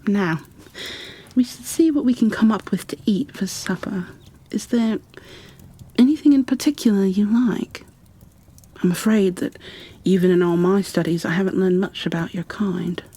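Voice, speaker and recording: A woman playing a gentle, shy, bookish bookkeeper in an ASMR roleplay, soft-spoken and half-whispering, with a hesitant, apologetic way of talking. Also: mid-range voice, breathy edge. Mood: caring. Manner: hesitant, apologetic, halting, careful. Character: gentle, shy, bookish female creature bookkeeper, peace-loving and kindly.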